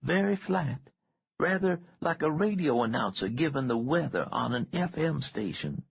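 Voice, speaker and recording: A man reading an audiobook, voicing a shaken but wry young woman with a thin, raspy voice and a hesitant, soft-spoken manner. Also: a little high, very animated, normal speed, no echo, muffled recording, pitched above the performer's own voice, exaggerated voice. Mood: thoughtful. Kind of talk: audiobook character dialogue.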